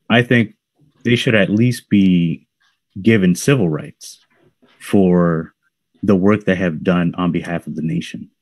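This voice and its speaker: A man in his thirties, a researcher on a panel, answering in a measured way with fillers over a video call. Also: deep voice, dry recording. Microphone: video call with earphones.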